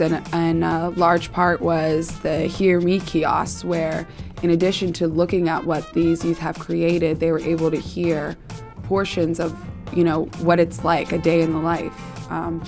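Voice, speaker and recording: A woman's voice: on the low side, level tone, a little slow, a little mellow, faint music behind. A young woman, an intern, explaining a youth program in an interview, with frequent ums. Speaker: intern and show coordinator. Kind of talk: interview answers.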